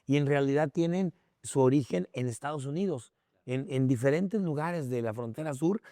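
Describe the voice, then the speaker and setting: A man's voice: medium pitch, normal speed, warm tone, no room sound, clean studio sound. A man with a Mexican accent explaining things as a podcast guest in a conversational, didactic way, with short tag questions to check in. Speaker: guest expert on history.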